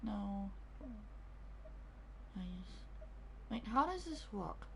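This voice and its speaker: A woman's voice: mid-range voice, big pitch swings, drawn-out words, creaky, a bit bright, slight background noise, poor audio. A woman giving casual gameplay commentary, thinking aloud as a streamer. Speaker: game streamer.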